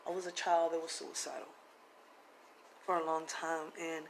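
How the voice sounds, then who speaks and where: A woman's voice: deep voice, little pitch movement, moderate pace, a little hiss or hum, low-quality recording. A woman telling her own hard life story to her viewers in a plainspoken, confessional way, using casual slang. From Puerto Rico, raised in Philadelphia. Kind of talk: personal testimony to camera.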